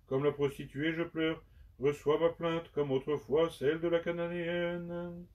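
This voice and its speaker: A man giving a slow, solemn liturgical recitation of penitential prayers in measured, prayerful phrases. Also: on the high side, level tone, a little mellow. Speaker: liturgical reader. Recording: dull sound from far off the mic.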